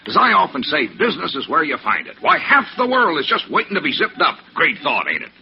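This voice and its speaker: A man's voice: a little high, wildly swooping pitch, fast talker, gravelly, muffled recording, heavily acted. An actor playing a pushy, lonely traveling salesman in a radio drama, American, folksy, garrulous and over-friendly. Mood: pushy, hearty. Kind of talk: 1950s radio drama acting. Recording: band-limited, noisy vintage radio recording.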